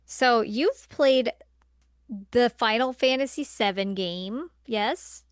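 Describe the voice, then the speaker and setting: Clear, higher-pitched woman in casual discussion. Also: animated, slow pace, no room sound, clean studio sound. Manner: exasperated, blunt, colloquial. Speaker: podcast co-host. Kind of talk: casual opinionated film discussion.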